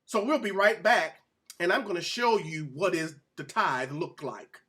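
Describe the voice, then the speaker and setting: Guy with a pretty high voice doing an informal bible lesson, repeats himself to drive points home. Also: measured pace, over loud music. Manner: emphatic, repeats phrases for stress, puts questions to listeners. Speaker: Bible teacher presenting a show. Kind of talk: informal teaching sermon with read-out scripture.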